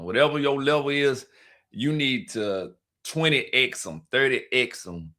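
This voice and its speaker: Brief: man, low, heavy and loud voice, emphatic live-stream storyteller. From United States. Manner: emphatic, repetitive, preacher-like urging. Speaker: travel vlogger telling a story. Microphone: streaming mic.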